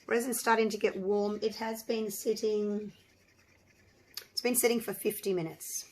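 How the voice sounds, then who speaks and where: A slightly hoarse woman hosting a casual crafting tutorial, chatting and thinking aloud with lots of ums and restarts. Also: mid-range voice, fairly even intonation, a little slow, darkish tone, low-quality recording. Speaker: resin artist and channel host.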